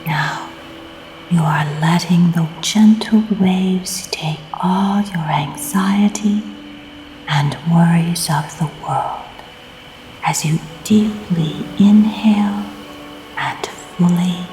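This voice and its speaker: Female meditation narrator: soft, half-whispered and soothing, lowish pitch, clearly audible music behind, long pauses between phrases. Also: rough recording. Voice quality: soft, breathy, often near-whispered. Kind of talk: scripted guided meditation.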